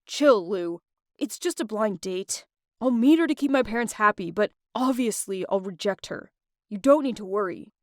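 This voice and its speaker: A woman voicing the male characters of a story, a stern father, a boyfriend and a friend, in a lower, firmer voice. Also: lively intonation, normal speed, dry recording, studio quality, natural voice. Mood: dismissive.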